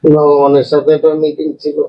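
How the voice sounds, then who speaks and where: A man's voice: on the high side, completely flat delivery, brisk, shouting, muffled recording, noisy background, natural voice. A man playing a stingy old grandpa in a comedy skit, coaxing and making repetitive excuses in a rural North Bengal accent. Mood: evasive. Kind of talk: comedy skit acting in dialect.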